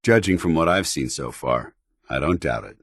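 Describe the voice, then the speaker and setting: An actor playing a stern, honor-bound soldier in a video game, speaking in a formal, commanding and earnest military way. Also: male voice, extremely low pitch, expressive, normal speed, a bit bright, dry recording, studio quality, heavily acted. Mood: firm, steadying. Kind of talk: video game companion dialogue.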